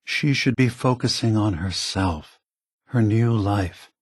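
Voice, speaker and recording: A man voicing a calm, remorseful old synthetic android leader in a video game, speaking in a measured, grave, deliberate way. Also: on the low side, slightly rough, no echo, studio quality. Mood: measured, concerned.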